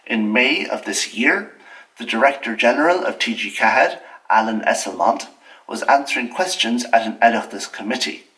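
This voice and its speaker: A man's voice: on the low side, fairly bright tone, far from the mic, rough recording. Earnest Irish man reading a scripted essay. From Ireland. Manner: measured, earnest, argumentative. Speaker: video essayist. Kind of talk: scripted commentary.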